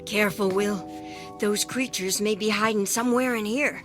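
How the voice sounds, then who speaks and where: An actress playing a frightened, hurt woman in a radio drama, speaking in a breathless, halting, emotional way. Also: mid-range voice, fairly even intonation, music in the background, lightly acted. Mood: shaken. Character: frightened, injured woman battling tiny silvery creatures.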